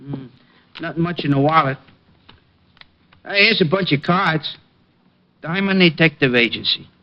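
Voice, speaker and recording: An actor playing a scheming small-time hoodlum with gruff tough-guy talk, in an old radio crime drama. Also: fairly high pitch, a little slow, highs cut off, close to an everyday voice. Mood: menacing.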